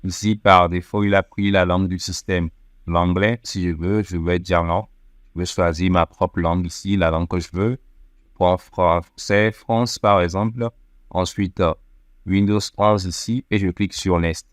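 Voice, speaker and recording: A man narrating a step-by-step software tutorial over a screen recording, methodical, with frequent hesitation fillers. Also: sits low, fairly even intonation, unhurried, dry recording, clean studio sound. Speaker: tech tutorial presenter.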